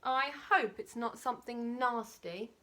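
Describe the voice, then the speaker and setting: A woman's voice: fairly high pitch, measured pace, bright, forceful tone. A woman voicing a cheerful, innocent roly-poly bird in a children's storybook, with a lilting, sing-song delivery. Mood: friendly.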